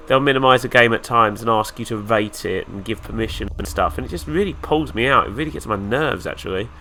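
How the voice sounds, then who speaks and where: A man's voice: medium pitch, pushed and bright, a little hiss or hum. A man with a nasal, flu-stuffed voice, giving casual let's-play commentary with dry, sarcastic asides. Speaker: gaming YouTuber.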